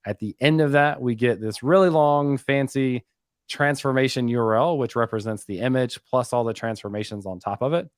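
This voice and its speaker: A man's voice: mid-range voice, normal speed, dry recording, studio quality. A man giving a casual technical walkthrough as a guest developer, with a joking, self-deprecating manner.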